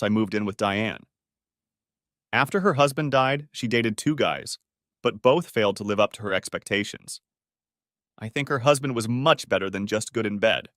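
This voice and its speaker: A man's voice: mid-range voice, a bit bright, no echo, studio quality. A man reading a scripted first-person story as its narrator.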